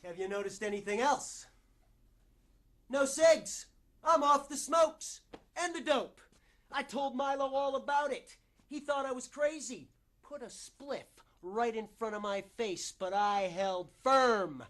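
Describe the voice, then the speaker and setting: A man performing a stage monologue as a desperate, newly clean struggling actor, halting and self-interrupting as he pleads and bargains. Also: high-pitched. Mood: edgy, defensive. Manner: halting, self-interrupting, swinging between hushed confession and outburst.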